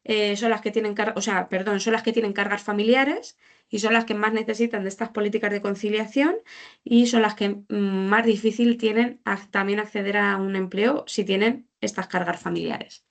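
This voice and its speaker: A woman's voice: medium pitch, fairly even intonation, normal speed, creaky. A woman presenting report findings informally, explaining hesitantly and often rephrasing or repeating her points. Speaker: social policy presenter.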